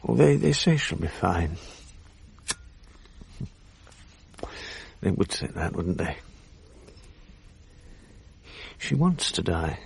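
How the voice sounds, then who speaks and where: An actor playing a guilt-ridden, self-loathing English husband in a radio drama, educated and apologetic, with a gravelly voice. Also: male voice, low voice, sing-song, moderate pace, a little hiss or hum, exaggerated voice. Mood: subdued, apologetic. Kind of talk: radio drama acting, dialogue and first-person narration. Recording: 1980s radio drama with faint music.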